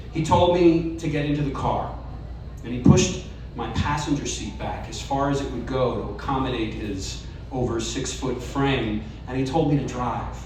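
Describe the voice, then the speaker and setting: Guy reading a story out loud at a live event, earnest, mic kinda far off. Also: a little high, slight background noise. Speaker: story reader. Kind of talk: live reading of a personal essay. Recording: echoey room, distant mic.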